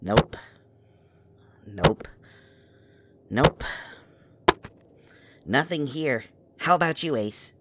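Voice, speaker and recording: A man's voice: medium pitch, lively intonation, very slow, pauses often, heavy background noise. A man playing a scholarly, cautious young magic expert, polite, wordy and a little exasperated, in an urban fantasy audio drama. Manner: wordy, polite, stammers when nervous.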